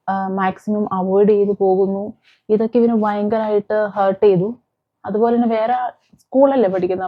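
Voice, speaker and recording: Higher-pitched woman, informal storyteller. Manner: conversational, explanatory, mixing in English words. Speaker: host of a crime commentary channel. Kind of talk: informal true-crime storytelling to camera or mic. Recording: dull, muffled lo-fi sound.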